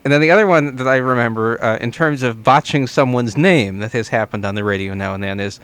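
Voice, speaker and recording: A man's voice: medium pitch, brisk, fairly bright tone, smooth, no echo. A man hosting a casual call-in radio show from a studio, speaking into a studio microphone. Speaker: radio host.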